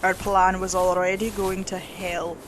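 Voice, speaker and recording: A woman's voice: lowish voice, flat delivery, unhurried, background noise. A woman reading a scripted horror story as its narrator.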